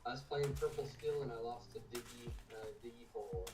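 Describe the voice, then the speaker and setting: A man's voice: medium pitch, a little fast. Distant roomy-sounding male card player. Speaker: recruiter and card game opponent. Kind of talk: casual chat. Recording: roomy and distant, over music.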